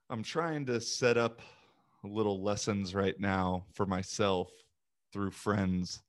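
A man in casual conversation, chatty and informal, peppering his talk with filler words like "like" and "you know". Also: fairly low pitch. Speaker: youth sports coach and language learner.

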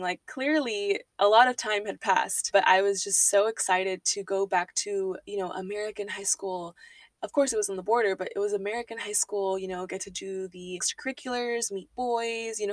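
A woman's voice: medium pitch, dry recording, far from the mic. A woman in her twenties from Texas, hosting a podcast and candidly telling her life story with emotion. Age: 20s. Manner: candid, emotional, fillers and restarts. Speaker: podcast host. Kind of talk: personal storytelling monologue.

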